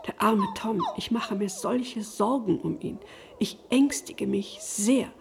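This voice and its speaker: A woman's voice: mid-range voice, slow pace, vocal fry, background noise. An actress in a German radio play, playing a helpless, worried upper-class older lady with a plaintive, genteel manner. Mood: anxious, helpless.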